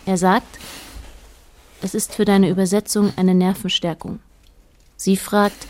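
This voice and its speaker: A woman narrating a radio play as a detached observer, in a measured, matter-of-fact way. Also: mid-range voice, level tone, a little mellow, a little hiss or hum, close to an everyday voice. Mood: detached, observant. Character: detached female narrator observing a married couple.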